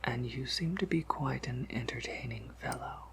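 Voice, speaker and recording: A man voicing a courteous, softly amused vampire apothecary shopkeeper in a whispered ASMR roleplay, with formal, gentle phrasing. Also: mid-range voice, slight background noise, lightly acted, poor audio. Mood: politely coaxing. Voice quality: breathy whisper with gravelly creak. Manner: polite, gentle, formal, unhurried.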